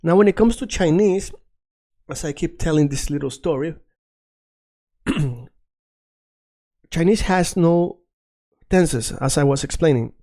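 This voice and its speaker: Man, fairly high voice. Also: unhurried, pauses often, mellow. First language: Spanish. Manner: patient, checks in often with "right?". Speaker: language teacher. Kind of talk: explaining a language lesson.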